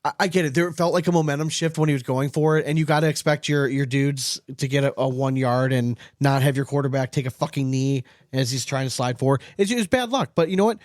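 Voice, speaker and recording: A man's voice: mid-range voice, quick pace, hardly any pauses, a little mellow, no echo, clean studio sound. A man co-hosting a casual sports talk show, ranting with strong opinions and swearing freely. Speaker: podcast co-host, former team coach.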